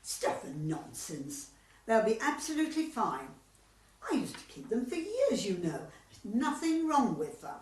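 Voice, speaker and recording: A woman's voice: a little high, huge pitch swings, a little slow, a little mellow. A woman playing a fussy, thrifty, old-fashioned older widow in an amateur comedy radio play. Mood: dismissive. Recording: echoey, distant home recording.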